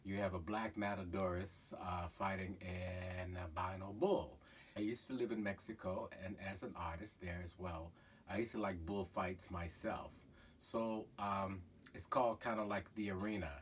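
Low-voiced man, halting and far from the mic. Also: steady tone. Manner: halting, many fillers and restarts. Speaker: painter. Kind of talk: casual explanation of his own painting. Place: cluttered art studio indoors. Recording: voice from far off, faint room noise.

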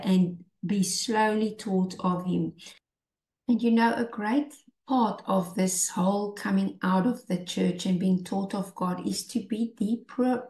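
A woman in her fifties teaching the Bible in an earnest, unhurried way, pausing and restarting often. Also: mid-range voice, dark tone. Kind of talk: unscripted Bible teaching over shared screen. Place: small indoor room. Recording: echoey room.

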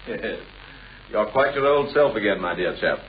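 An actor playing a breezy, sociable gentleman friend in a radio play, with an upper-class English accent and a hearty, jaunty manner. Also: on the low side, lively intonation, moderate pace, lightly acted. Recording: dull old radio recording.

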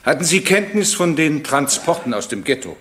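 An actor playing a stern, impatient presiding judge in a radio courtroom drama, formal and probing. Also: male voice, a little high, very animated, moderate pace, a bit bright, a little hiss or hum. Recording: echoey courtroom-like room.

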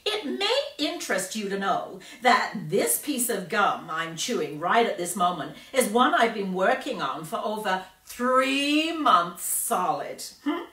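A woman voicing a brash, bragging gum-chewing girl from a storybook, loud and emphatic. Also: medium pitch, very animated, measured pace, few pauses. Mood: boastful, excited. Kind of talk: storybook character reading. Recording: roomy, distant microphone.

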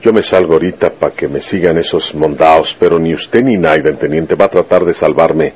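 An actor playing a stubborn, vengeful rural Mexican detective in a radio serial, with earnest, folksy country speech. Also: male voice, very deep voice, normal speed, a little mellow, a little hiss or hum. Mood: defiant, urgent. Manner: folksy country speech full of rustic colloquialisms. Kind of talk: Spanish-language radio serial acting.

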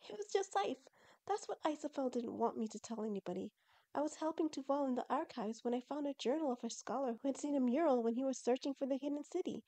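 Lady doing an eager young fox girl from a storybook, high soft-toned voice, super earnest and excitable. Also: quick pace. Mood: excited.

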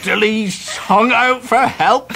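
A man's voice: high voice, slow, gravelly, bright, forceful tone, a little hiss or hum, exaggerated voice. An actor playing a hearty, humble old countryman with a rustic English accent and folksy storytelling in a radio drama. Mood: amused. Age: older. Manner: folksy dialect, chuckling storyteller. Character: hale grey-haired old countryman, hearty, humble and warm. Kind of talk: 1990s radio drama acting.

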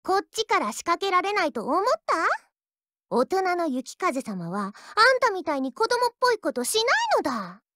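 A woman's voice: very high-pitched, very animated, moderate pace, a bit bright, no room sound, clean studio sound, full character voice. A woman voicing a haughty, childish anime warship girl in a mobile game, boastful and tsundere in manner. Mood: smug, boastful. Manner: boastful, tsundere, ends sentences with a verbal tic. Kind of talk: Japanese anime game character voice lines.

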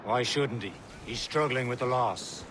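A man's voice: mid-range voice, measured pace, lightly acted. Man as a grave, loyal first mate. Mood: grave, patient. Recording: noisy game audio, ship ambience behind.

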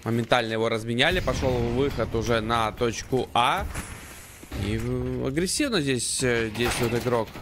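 A man's voice: mid-range voice, normal speed, noisy background. A man commentating and analysing a live esports match in conversational gamer slang. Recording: gunfire and game sounds behind. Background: gunfire, clicks from the game.

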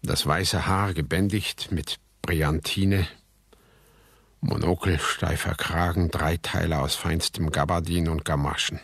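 A man's voice: deep voice, fairly even intonation, measured pace, creaky, fairly bright tone, slightly rough, no room sound, full character voice. An actor playing a reflective, disgraced heir who narrates his family saga in a radio drama. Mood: reflective, confessional.

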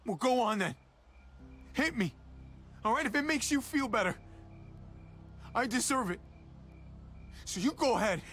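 A man playing an apologetic, halting, guilt-ridden younger brother in a cinematic video game drama. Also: medium pitch, very animated, a bit bright. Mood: pleading. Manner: pleading, halting. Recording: music underneath.

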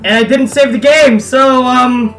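A man's voice: very high-pitched, fairly even intonation, measured pace, fairly bright tone. A young man giving casual, sweary, exasperated let's play commentary as a gaming video host. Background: game music.

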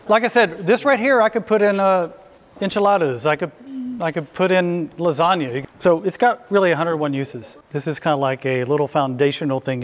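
A middle-aged man, a chef giving an informal live cooking demonstration in an easygoing, conversational way. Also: on the high side. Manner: easygoing, conversational, invites questions. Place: indoor hall before a small audience.

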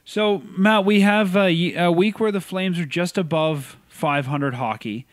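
A man co-hosting a podcast, giving opinionated, animated takes in casual sports chat. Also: high-pitched, a little slow, dry recording. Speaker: podcast co-host.

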